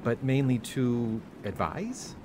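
An actor playing an earnest, patient younger brother of devout faith in a radio drama, sincere and gently questioning. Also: male voice, medium pitch, sing-song, takes it slowly, noisy background. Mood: mild, questioning.

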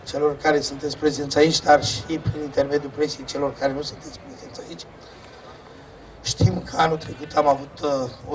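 A man with a strained voice giving a formal public address as a local official at an outdoor ceremony. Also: on the high side. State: strained voice, apologised for. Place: open-air fairground stage. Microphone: distant camera, speech over loudspeakers. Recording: distant outdoor PA, clear background noise.

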